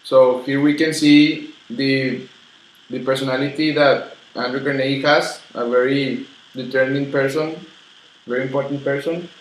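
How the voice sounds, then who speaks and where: A man's voice: mid-range voice, fairly even intonation, normal speed, slight background noise. A man acting as interviewer in a scripted school-project style interview. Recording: echoey room.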